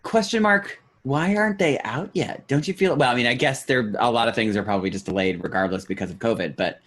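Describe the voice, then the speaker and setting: A man's voice: mid-range voice, a little fast, fairly bright tone. A man co-hosting a podcast in casual chat, with loose, filler-peppered phrasing. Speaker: podcast co-host.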